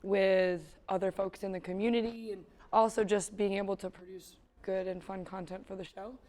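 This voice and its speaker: A woman with a raspy voice co-hosting a morning TV show, playful and quick to laugh. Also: medium pitch, flat delivery, darkish tone. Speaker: TV co-host. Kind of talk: morning TV chat.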